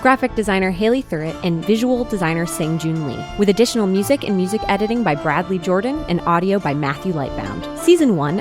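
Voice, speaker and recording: A woman announcer reading scripted end credits for an audio drama. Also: medium pitch. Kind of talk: scripted narration.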